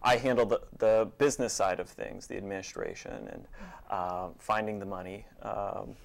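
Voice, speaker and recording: A man being interviewed as an executive director, answering conversationally in a measured, matter-of-fact way. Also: lowish voice, animated, creaky. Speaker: executive director of a nonprofit theater, interview guest.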